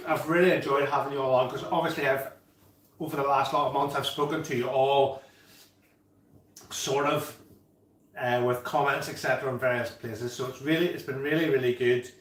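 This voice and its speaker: A man's voice: medium pitch, fairly even intonation, normal speed. A man, hosting a casual home-brewing livestream chat and reading out viewer comments. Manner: chatty, reads out viewer comments, laughs easily. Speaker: livestream host. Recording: echoey room, distant from mic.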